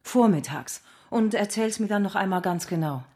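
A woman's voice: mid-range voice, expressive, moderate pace. An actress playing a tender, wry woman revolutionary in a German radio drama, speaking lyrically and intimately. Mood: wary, practical. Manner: intimate, lyrical, often sinking to a hush. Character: visionary woman revolutionary, tender, wry, weary yet rapturous.

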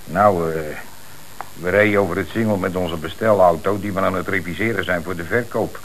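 Male actor as streetwise Dutch petty crook, fairly low voice, hesitant and cheeky, hissy old radio drama. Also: fairly even intonation, a little fast, close to an everyday voice, poor audio. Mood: explaining. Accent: Dutch from the Netherlands. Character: evasive, cheeky small-time crook, one of two brothers. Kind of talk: Dutch radio play acting.

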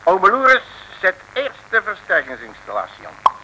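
High, bright, swaggering radio detective. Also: male voice, wildly swooping pitch, moderate pace, heavily acted, rough recording. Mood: scheming. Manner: folksy, swaggering, exclamatory. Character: brash, jovial, cheeky male Dutch detective. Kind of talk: 1950s-60s Dutch radio serial acting. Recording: old radio recording with faint noise.

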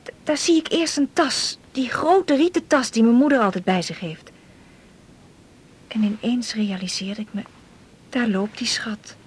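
High-voiced, lovelorn young woman. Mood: heartbroken, nostalgic. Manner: halting, confiding, often breathy. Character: lovelorn, wistful young woman confessing hopeless love to colleague. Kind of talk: naturalistic Dutch radio drama dialogue.

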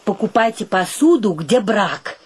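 A woman's voice: medium pitch, big pitch swings, a little slow, darkish tone, breathy edge, heavily acted. An actress playing a simple, proud, practical girl in a radio play, plain-spoken and a little prim. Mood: matter-of-fact. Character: simple, proud, practical girl, easily wounded, primly coy. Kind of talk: theatrical radio play acting.

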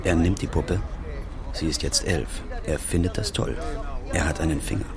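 A man's voice: sits low, moderate pace, a crowd in the background. A man acting as a detached, ominous narrator in a radio play, intoning short phrases like an incantation. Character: dark, detached male narrator intoning bleak scenes. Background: loud music.

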